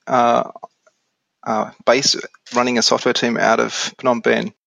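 An Australian man in his forties explaining things casually as an interview guest on software. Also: medium pitch, level tone, slow, vocal fry, no echo, rough recording. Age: 40s.

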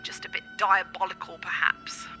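A woman playing a witty, theatrical sweetheart with a dark streak in a radio play, with an arch, dramatic delivery over music. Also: lowish voice, fairly even intonation, normal speed. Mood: arch, teasing.

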